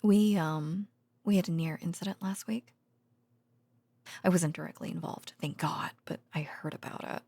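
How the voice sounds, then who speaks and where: A gentle researcher girlfriend, acted by a woman talks softly in an intimate ASMR audio roleplay. Her voice is dark, mellow and husky, often slipping into a whisper. She speaks haltingly, very close and dry. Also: fairly low pitch, no echo. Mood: casual, murmured. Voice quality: soft, breathy, often dropping to a whisper. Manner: hesitant, halting, murmuring. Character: gentle female researcher girlfriend murmuring secret work stories at bedtime.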